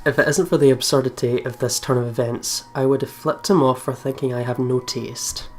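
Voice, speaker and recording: Medium-pitched gamer reading a story aloud, a man. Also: steady tone, brisk. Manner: giggly, stumbles while reading. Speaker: let's play host. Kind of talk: reading a visual novel aloud with casual commentary. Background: faint game music.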